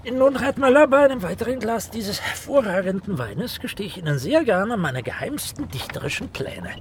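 Casting: an earnest, courteous young-at-heart author for period radio drama, high and very lively voice. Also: male voice, moderate pace. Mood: polite, eager. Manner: formal, earnest. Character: polite, eager, slightly awkward writer guest. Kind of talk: period radio play dialogue. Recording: faint coach noise behind.

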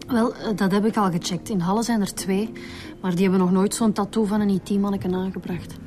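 An actress with a Flemish accent playing a chatty, keen police inspector in a radio drama. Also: female voice, mid-range voice, steady tone, a little fast, dark tone, natural voice. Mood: matter-of-fact.

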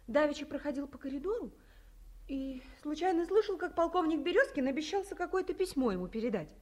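An actress playing a timid, eager nineteen-year-old girl in a 1950s radio play. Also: high-pitched. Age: young adult. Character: shy, bright young woman of nineteen.

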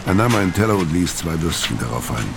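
A man's voice: low voice, sing-song, quick pace, vocal fry, music in the background, full character voice. An actor in a radio crime drama playing a laconic, brooding, world-weary middle-aged tough guy. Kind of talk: first-person narration in radio crime drama.

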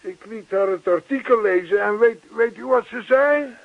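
A man's voice: high voice, normal speed, vocal fry, no room sound. A man playing an earnest, chatty older neighbour in a radio play, persistent, reassuring and long-winded. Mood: earnest, reminiscing. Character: earnest, chatty older male neighbour zealous about yoga. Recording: thin, phone-like old radio play recording.

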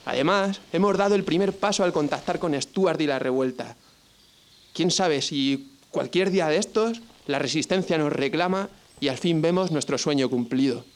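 Man acting intense anxious dissident, highish voice, long reverb. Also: normal speed, close to an everyday voice. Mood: earnest, resentful. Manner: earnest, questioning, intense. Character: defiant, anxious male dissident who hates the regime. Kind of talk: radio drama acting. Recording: long hall reverb, faint music behind.

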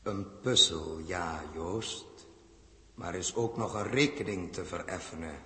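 An actor playing a stern, accusing inner voice of conscience in a 1960s radio drama, deliberate and insistent. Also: male voice, low-pitched, sharp tone. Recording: echoey hall-like reverb.

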